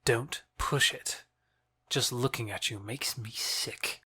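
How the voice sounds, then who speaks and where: A scornful young man played by an actor in an audio drama. He is curt and exasperated, with a mid-pitched voice in a dry, close recording. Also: takes it slowly, bright, forceful tone, husky, no room sound, exaggerated voice. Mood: disgusted. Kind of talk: theatrical audio drama acting.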